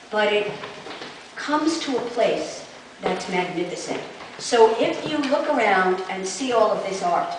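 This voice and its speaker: A woman introducing a reading to an audience as event host, deliberate and emphatic. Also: mid-range voice. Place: arts centre hall before an audience. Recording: echoey hall from far off, noisy.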